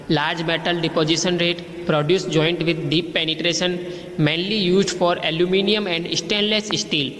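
High-voiced Indian man reading slides. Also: a bit bright, reverberant, in a large hall, a little hiss or hum, low-quality recording. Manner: reads lists slowly, with restarts. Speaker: teacher.

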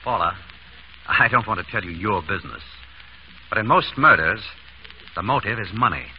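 Actor as wry, lively-voiced gentleman sleuth, old radio. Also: on the low side, normal speed, lightly acted. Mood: assured, explaining. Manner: suave, dry, polite questioning. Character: urbane, wry doctor turned amateur sleuth. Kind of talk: 1930s radio drama acting. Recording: scratchy, dull old radio broadcast.

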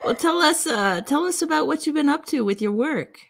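A woman interviews a guest in a casual, conversational way. Her voice is a bit high and moves up and down a lot in pitch. She is speaking on a video call. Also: expressive, brisk, creaky. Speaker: interview host.

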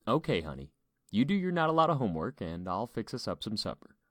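A man's voice: lowish voice, sing-song, fast, no room sound, studio quality, voice pushed up. A man voicing a tender, protective father and husband in an audiobook story, earnest and anxious. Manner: earnest, emphatic.

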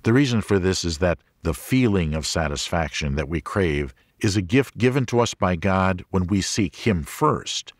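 A man reading a religious audiobook as a scripted narration, in a measured, clearly enunciated manner. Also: sits low, no echo, studio quality.

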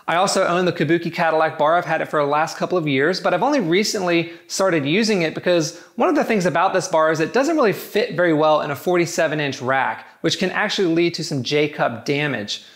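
A man's voice: fairly high pitch, brisk, hardly any pauses, a bit bright. A man giving a scripted, matter-of-fact review of home gym barbells. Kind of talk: scripted product review narration.

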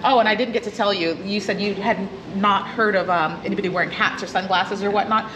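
A woman's voice: medium pitch, steady tone, quick pace. A woman hosting a casual chat, talking in a chatty way and often giggling. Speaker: host. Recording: noisy background.

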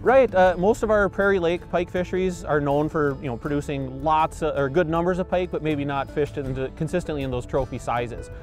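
A man's voice: on the high side, brisk, few pauses, faint music behind. A man in his forties, a fisheries biologist, answering interview questions outdoors by a river in an earnest, explanatory way, with frequent fillers and restarts.

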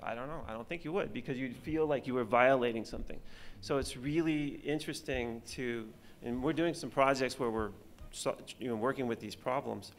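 Middle-aged man, film director on a panel; gravelly, halting, restarts often, speaks into a table mic. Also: medium pitch. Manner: halting, many fillers and restarts. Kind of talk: conference panel talk. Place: conference stage, seated at panel table. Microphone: table mic on stand.

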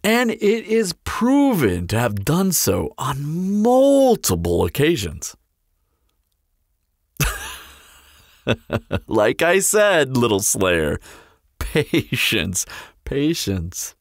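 A man's voice: fairly high pitch, huge pitch swings, drawn-out words, long pauses, dry recording, clean studio sound, heavily acted. A man playing a teasing, flirtatious immortal vampire in an audio roleplay, with a playful, sardonic, theatrical drawl. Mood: coy, teasing. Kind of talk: scripted audio roleplay acting.

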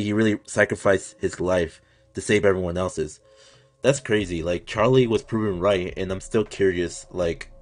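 A man in his twenties with a low-pitched voice hosts a casual reaction video from a small room at home. He talks off the cuff in a rambling, excited fan's way, with some mild swearing. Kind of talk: casual unscripted reaction commentary.

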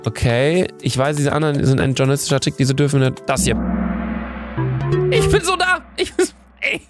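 A young man with a cold chats excitedly into a close mic while he plays a quiz game. He reads each answer out and swears casually when he gets one wrong. Music plays clearly underneath his voice. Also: medium pitch, moderate pace. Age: young adult. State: has a cold. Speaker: streamer playing a meme quiz. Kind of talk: casual gaming commentary. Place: indoor room at a desk. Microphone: studio mic near the face. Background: background music.

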